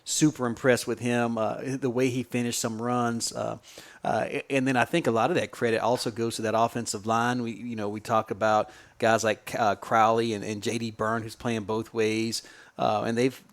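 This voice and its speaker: A man's voice: medium pitch, little pitch movement, moderate pace. A man co-hosting a sports podcast, chatting casually in a folksy, colloquial, enthusiastic way. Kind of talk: casual sports chat and analysis.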